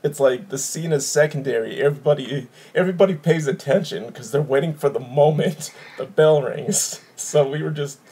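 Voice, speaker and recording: A man in his thirties from Wisconsin hosts a laid-back video call from his living room. His voice is mellow and a little husky, and he rambles with easy chuckles. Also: fairly high pitch, normal speed, hardly any pauses. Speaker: podcast host. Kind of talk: casual chat over video call.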